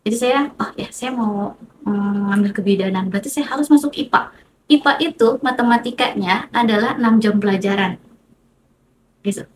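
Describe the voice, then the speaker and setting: A woman's voice: medium pitch, normal speed, low-quality recording. A woman with an Indonesian accent tells personal stories as a teacher guest in a casual interview, reflective and repeating phrases for emphasis. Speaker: mathematics teacher, podcast guest. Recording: clear background noise, room ambience.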